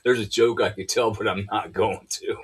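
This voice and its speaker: A co-host in a casual live chat, making dry, deadpan remarks. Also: mid-range voice, normal speed, breathy edge.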